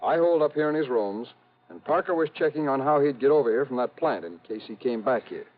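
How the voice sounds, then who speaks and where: A man's voice: mid-range voice, expressive, fast, crowd noise, natural voice. Man acting an urgent, exasperated radio-drama sidekick. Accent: American. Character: male investigator's colleague, exasperated, urgent, anxious. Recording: muffled vintage radio, faint noise.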